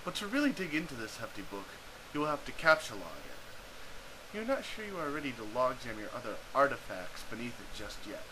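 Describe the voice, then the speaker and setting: A man's voice: mid-range voice, big pitch swings, a little fast, noisy background. A man reading scripted narration in a wry, theatrical storytelling way on an amateur home recording. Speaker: narrator reading a webcomic aloud.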